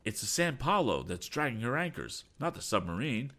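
A man voicing a bold, confident sea captain in an adventure storybook, with a brisk, commanding manner. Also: lowish voice, very animated, voice pushed up. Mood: urgent, commanding. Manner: urgent, exclamatory, commanding. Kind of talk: audiobook adventure character.

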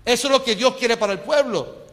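A man's voice: extremely high pitch, brisk, fairly bright tone, long reverb tail. A man with a Puerto Rican accent preaching a passionate sermon, calling on the congregation for amens. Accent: Puerto Rican Spanish. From Puerto Rico. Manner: impassioned, calls the congregation for amens. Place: church sanctuary.

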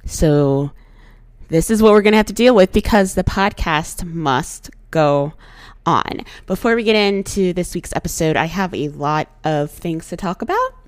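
A young woman hosting her solo podcast, her voice a little hoarse, talking earnestly and outspokenly with animated emphasis. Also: lowish voice, unhurried, no echo. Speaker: podcast host.

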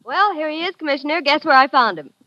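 An actress playing a teasing office assistant in a 1940s radio drama, on a dull old radio recording. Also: female voice, high-pitched, animated, fast talker, very noisy.

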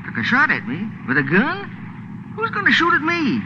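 Simple, scrappy American sidekick, high and very animated, querulous, 1940s radio comic relief. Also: male voice, normal speed, exaggerated voice. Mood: anxious, querulous. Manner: folksy, querulous. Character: scrappy, needy, simple-minded male boat sidekick. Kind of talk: 1940s radio drama acting. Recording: muffled, noisy vintage radio broadcast.

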